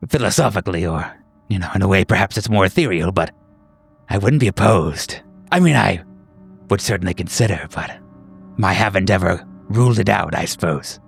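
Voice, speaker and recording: A man playing a sinister, whimsical doctor in a horror role-playing game, gravelly and husky, rambling haltingly with theatrical politeness. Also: low-pitched, animated, soft background music, exaggerated voice. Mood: musing, menacing. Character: sinister, whimsical male doctor, musing and menacing. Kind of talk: improvised horror role-play.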